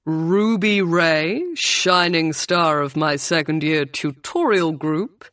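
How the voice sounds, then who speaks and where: Woman reading a theatrical older male tutor, drawling and grand, lowish voice. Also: takes it slowly, fairly bright tone, no room sound. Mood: effusive.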